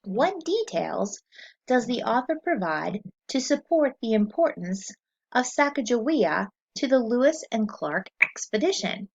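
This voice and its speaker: A woman teacher reading a lesson aloud to children, slow and clear, pausing so they can answer. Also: on the high side, very animated, highs cut off.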